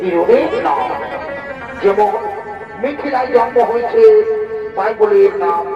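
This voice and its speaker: A man's voice: very high voice, animated, unhurried, music in the background. A man playing a devout, joyful king and tender husband in emphatic, reverent folk stage drama style. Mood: proud, explaining. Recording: echoey, dull, distant stage PA recording. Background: loud musical instruments.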